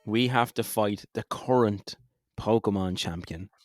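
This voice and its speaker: A man with a fairly low voice, playing along on a gaming podcast. He banters casually, swears freely and gets excitable, with music running behind him. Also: unhurried, no room sound, clean studio sound. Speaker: podcast co-host and player.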